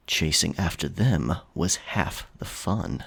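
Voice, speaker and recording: A man acts out a self-assured male pirate sailor in a historical romance audio drama. His voice is deep and his intonation moves around freely. He is unapologetic and teasing in his delivery. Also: animated, takes it slowly, creaky, a bit bright, breathy edge, heavily acted. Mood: teasing, flirtatious.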